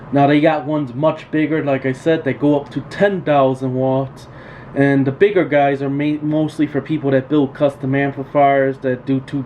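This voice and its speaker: Male repair-tech host, mid-pitched, informal and plain-spoken, hammering home practical advice over faint background noise. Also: poor audio. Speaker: radio repair technician hosting a tool tutorial. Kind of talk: informal unscripted instructional talk. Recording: steady background noise, room ambience.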